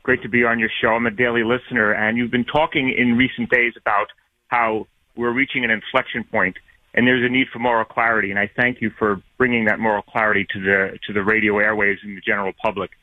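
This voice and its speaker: A man's voice: fairly low pitch, little pitch movement, no echo. A man with a New York accent calls in over a thin, tinny phone line. He speaks earnestly, picking his words with many fillers. The sound is dry and boxed in. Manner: earnest, wordy, halting with fillers. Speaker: caller. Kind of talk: call-in opinion.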